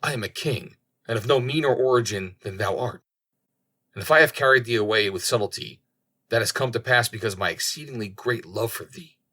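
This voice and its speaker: Man playing an ardent, husky young king. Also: medium pitch, brisk, pushed and bright. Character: ardent young king wooing his captive bride.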